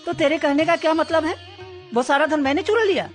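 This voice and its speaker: A woman's voice: high-pitched, fairly quick, faint music behind, close to an everyday voice. A woman voicing a crafty, self-serving elder brother in a children's story, brusque and bossy in manner. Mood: defensive, brusque.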